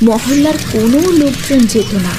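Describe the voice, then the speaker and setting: A woman's voice: sits high, moderate pace, music in the background. A woman narrating a scripted cartoon story dramatically, phrase by phrase.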